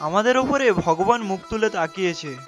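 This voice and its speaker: A woman's voice: medium pitch, lively intonation, a little fast, music in the background, close to an everyday voice. A woman voicing a humble, pious, poor village man in a fairy-tale cartoon, earnest and reassuring. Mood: hopeful.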